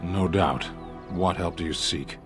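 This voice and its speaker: An actor playing a proud, caged giant warrior who speaks tersely, with solemn resignation, in a fantasy game. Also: male voice, low voice, a little slow, music in the background. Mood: stoic, resigned. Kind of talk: dramatic game dialogue.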